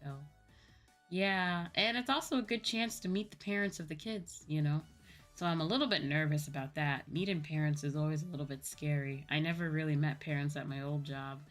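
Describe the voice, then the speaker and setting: A young woman with an American accent and a low-pitched voice chats casually on a livestream. She rambles informally and corrects herself as she goes, with music playing clearly behind her. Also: brisk. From United States. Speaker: livestreamer, virtual YouTuber. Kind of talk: casual chat with live viewers. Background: background music.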